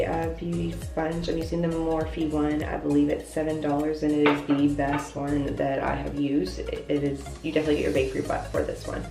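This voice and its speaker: A woman's voice: low voice, gravelly. A young woman with a congested, stuffy voice, chatting casually through a makeup tutorial and explaining each step in a self-deprecating way. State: congested, voice lower than usual. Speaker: beauty vlogger. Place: hotel bathroom. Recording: echoey bathroom from off-mic, faint music.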